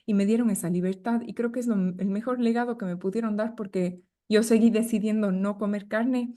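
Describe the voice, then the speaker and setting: An Ecuadorian-accented woman in her thirties speaks as a guest on a podcast, her voice mellow and warm. She is reflective and gentle, repeating small words as she searches for the next thought. Her pitch stays in a middle range for a woman. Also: fairly even intonation, a little fast. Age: 30s. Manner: reflective, gentle, with hesitant repetitions. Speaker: guest nutritionist. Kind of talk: conversational podcast interview.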